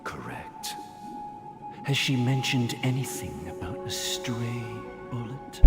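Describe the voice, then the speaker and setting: An actor playing an authoritative, calculating mentor in a game, speaking formally and deliberately with stern calm. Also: male voice, medium pitch, music in the background. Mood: grave, probing. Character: authoritative male dream master, calm, grave, calculating mentor. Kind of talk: scripted game dialogue.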